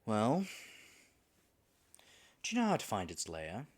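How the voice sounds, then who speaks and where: A man's voice: fairly low pitch, huge pitch swings, slow pace, exaggerated voice. A man acting in an audio roleplay as a nervous male dragon disguised as a human guide, stammering and muttering asides to himself. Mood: brisk, feigned confidence.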